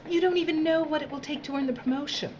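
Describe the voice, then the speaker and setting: A woman's voice: high voice, quick pace, a little mellow, muffled recording, slight background noise, natural voice. An actress playing a domineering, sadistic female boss in an audio drama, with a condescending, mock-sweet, imperious drawl. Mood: toying, condescending. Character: domineering, theatrical, sadistic female boss of dystopian work crews. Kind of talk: theatrical audio drama acting.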